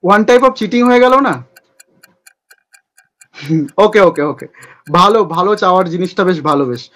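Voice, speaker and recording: A man hosting a playful quick-fire question segment in a chatty interview style. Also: high voice, animated, a bit bright.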